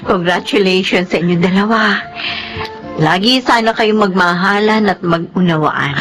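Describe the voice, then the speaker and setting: A tender middle-aged Filipina mother played by an actress, mid-pitched, with music clearly behind her. She speaks with sentimental radio drama feeling. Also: moderate pace, natural voice. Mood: joyful. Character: loving, hardworking, sentimental Filipina mother and new grandmother.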